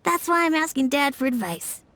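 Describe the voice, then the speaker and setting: A woman's voice: high voice, expressive, moderate pace, fairly bright tone. A woman playing a thoughtful, earnest young boy who likes storytelling, in an anime video game.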